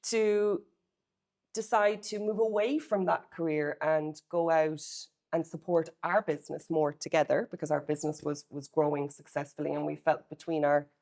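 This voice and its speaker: Low, gravelly Irish woman. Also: flat delivery, moderate pace, a little mellow. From Ireland. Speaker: real estate agency co-owner. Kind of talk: personal story to camera.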